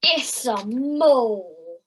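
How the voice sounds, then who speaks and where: A dopey, eager weasel sidekick acted by a woman, high and swooping. The delivery is slow and naive, with childish boasting, as in an audio play for children. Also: animated, breathy edge, full character voice. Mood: naive, puzzled. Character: dim, eager, literal-minded weasel henchman. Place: living room at home, recorded over video call.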